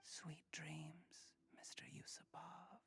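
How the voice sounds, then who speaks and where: An actor playing a cold, calculating usurper in a video game, speaking with composed, smug and deliberate menace. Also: male voice, fairly high pitch, level tone, creaky, fairly bright tone, in a whisper, exaggerated voice. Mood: hushed, menacing.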